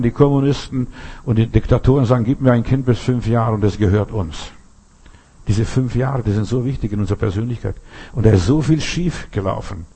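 A man's voice: mid-range voice, dark tone, breathy edge. A man preaching a sermon, emphatic and full of rhetorical questions, often restarting his sentences.

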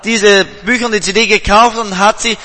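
A man's voice: high voice, fairly even intonation, brisk, sharp tone, in a large hall. A man working as a live interpreter at a revival meeting, translating the preacher phrase by phrase. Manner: translates each phrase right after the preacher.

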